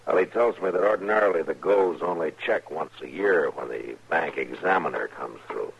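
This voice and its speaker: An extremely low, gravelly voice on an old, dull radio recording. a world-weary American frontier marshal, terse and watchful, speaking with grave restraint. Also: male voice, normal speed, heavily acted. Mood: grave, probing. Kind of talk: 1950s radio drama acting.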